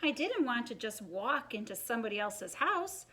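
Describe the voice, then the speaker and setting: A woman plays a storybook wolf pleading his own case in a high, bright voice. The telling swoops up and down, chatty and mock-innocent, leaning hard on dramatic words. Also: sing-song, moderate pace. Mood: chatty, incredulous. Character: wheedling, self-justifying wolf telling his side of the story. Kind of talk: expressive children's storybook reading.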